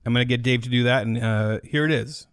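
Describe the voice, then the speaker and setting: A man's voice: mid-range voice, quick pace, clean tone, dry recording, clean studio sound. A man co-hosting a podcast with casual, joking banter. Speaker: podcast co-host.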